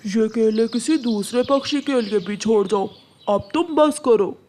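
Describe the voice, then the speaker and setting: A man's voice: very high-pitched, a little slow, darkish tone. A man voicing a talking tree in a cartoon, earnest and imploring. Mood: scolding. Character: gentle, pleading talking tree.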